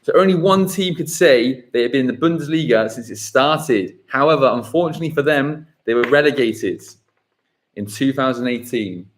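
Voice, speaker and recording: A man hosting a live football quiz, reading questions slowly and repeating them. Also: medium pitch, animated. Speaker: quiz host.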